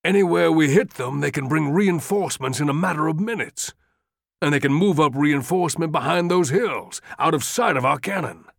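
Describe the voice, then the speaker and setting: A man's voice: medium pitch, expressive, a little slow, no echo, studio quality. A man voicing a weary, worried general who is pleading his case earnestly and with insistence. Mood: urgent.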